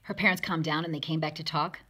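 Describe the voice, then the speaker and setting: Low-pitched, husky woman reading a children's story. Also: steady tone, fast talker, sharp tone. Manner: dramatic emphasis, acting out lines. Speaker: storybook reader. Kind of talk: expressive read-aloud of a rhyming children's picture book.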